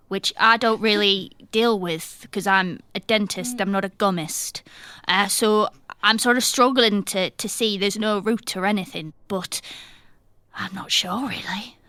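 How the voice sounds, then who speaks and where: A woman's voice: medium pitch, measured pace, fairly bright tone, smooth, dry recording, close to an everyday voice. A woman playing an earnest, defensive village dentist in improvised comedy, emphatic and given to repeating herself. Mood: defensive, worried. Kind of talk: improvised comedy role-play.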